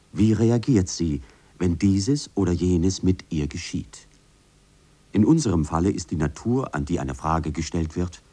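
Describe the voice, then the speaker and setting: A man's voice: low-pitched, mellow, breathy edge. A man giving a formal scripted reading of quoted texts.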